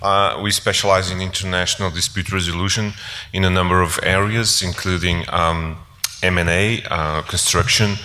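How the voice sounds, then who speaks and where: A man with a Portuguese accent introducing himself formally and haltingly as a panelist. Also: low-pitched, sharp tone. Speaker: lawyer, panelist. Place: conference room. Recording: reverberant conference hall.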